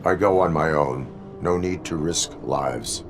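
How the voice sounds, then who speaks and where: Casting: stoic game cyborg lawman, extremely low voice, slow terse robotic delivery. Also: vocal fry, noisy background, heavily acted. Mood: resolute. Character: stoic cyborg police officer, part man, part machine.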